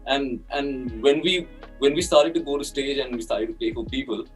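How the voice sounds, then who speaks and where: Animated young musician from off-mic. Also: male voice, medium pitch, normal speed, slightly rough, soft background music. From Kalimpong, India. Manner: animated, heavy use of "like". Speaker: band musician. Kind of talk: casual conversation. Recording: voice picked up from a distance.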